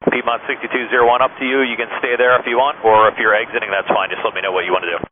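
Male tower controller, brisk and relaxed, rapid delivery over a tinny radio with static. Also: mid-range voice. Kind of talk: air traffic radio calls.

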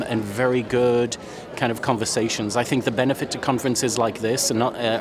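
A man talking enthusiastically and at length during a casual interview at a conference. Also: medium pitch. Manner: enthusiastic, talkative. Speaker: conference participant. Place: busy conference center.

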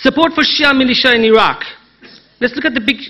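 A man's voice: extremely high pitch, fast, sharp tone. A man with a Persian accent gives an analytical conference talk, emphatic, posing rhetorical questions and repeating key phrases. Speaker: conference speaker, Iran analyst. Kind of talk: conference presentation, persuasive analysis.

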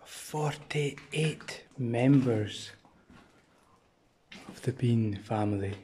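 A young man vlogging by a rocky shore and in a sea cave, talking into a handheld selfie camera, shifting between dramatic hushed storytelling and loud exclamations. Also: medium pitch, very slow, a little hiss or hum. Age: young adult. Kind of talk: excitable travel vlog.

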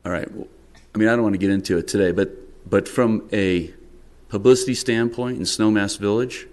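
A middle-aged man, a town council member, questioning a business owner at a public work session, measured and repeating words as he presses his point. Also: on the low side, darkish tone. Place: town council meeting room, indoors. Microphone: desk microphone.

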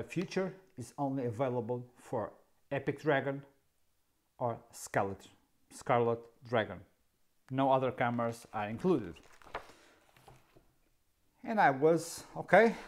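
Slow, wry Portuguese-accented man. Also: medium pitch. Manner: wry, sarcastic, asks himself questions. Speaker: camera video host. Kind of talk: casual tech explainer. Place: dark indoor studio, seated.

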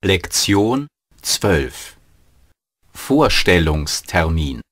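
A man announcing lesson titles in a language course, husky and deliberate. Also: low voice, big pitch swings, fairly bright tone, no room sound, clean studio sound.

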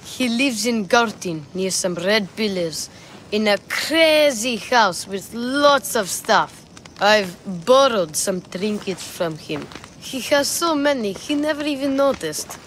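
A woman voicing a curious, cheeky young boy in a video game, excitable and boastful. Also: medium pitch, slow pace, a little hiss or hum. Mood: chatty.

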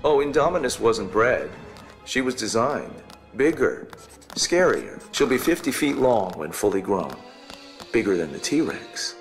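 A proud, cool scientist in a game cutscene. He has a mid-range voice with expressive swings of pitch. Music sits faintly behind him. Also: unhurried. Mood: proud, sinister. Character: proud, cool scientist man boasting of his creation. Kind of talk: animated game cutscene dialogue.

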